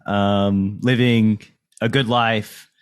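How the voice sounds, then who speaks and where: A man hosting a casual interview, asking questions in a halting, dry way. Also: fairly low pitch, steady tone, clear, resonant tone. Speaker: podcast host, interviewer.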